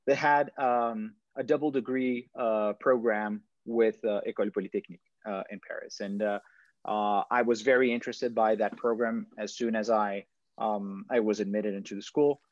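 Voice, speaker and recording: A man's voice: lowish voice, steady tone, normal speed, no room sound. A man in his thirties from Brazil, giving a presentation on a video call, hesitant with many fillers and restarts. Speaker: startup founder. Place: indoors at home, stone wall behind. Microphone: video call webcam. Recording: dull lo-fi video call audio.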